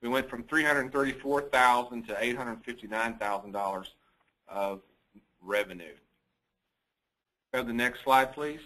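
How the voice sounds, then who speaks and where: Man, finance chief, medium pitch, bright crisp-edged tone, matter-of-fact, investor call report. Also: moderate pace, pauses often, low-quality recording.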